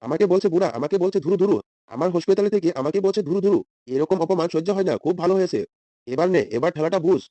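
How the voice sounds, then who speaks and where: A man plays a proud, indignant old cartoon doctor. He scolds huffily and repeats himself at a very fast clip, in a fairly high voice with a dry, close sound. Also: dark tone, no room sound, close to an everyday voice. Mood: gloating. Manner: huffy, scolding, repetitive. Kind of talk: comedy cartoon dialogue.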